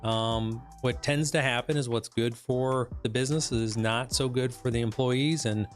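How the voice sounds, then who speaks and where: A hoarse man whose voice is giving out talks through a business report on a live stream. He rambles with fillers and restarts, a business coach in casual mode. Faint music plays underneath. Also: medium pitch, steady tone, moderate pace. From West Michigan, United States. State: hoarse, losing his voice.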